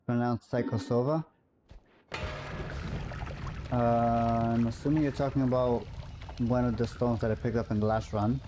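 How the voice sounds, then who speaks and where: Casual male streamer, mid-pitched. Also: steady tone, measured pace, long pauses, noisy background. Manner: rambling, musing aloud, self-correcting. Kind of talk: casual live-stream chat while playing.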